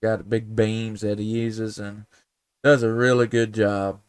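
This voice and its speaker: An American man chatting casually as an amateur radio host, in a folksy, easygoing way with thinking pauses. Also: on the low side, little pitch movement, background noise. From United States. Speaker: amateur radio operator, live stream host. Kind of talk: casual radio contact chat.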